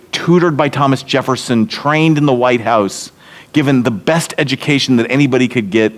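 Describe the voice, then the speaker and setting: Animated man preaching. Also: mid-range voice. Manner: animated storyteller, builds to emphatic points. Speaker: seminary leader speaking at church event. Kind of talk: storytelling sermon talk. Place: church meeting hall.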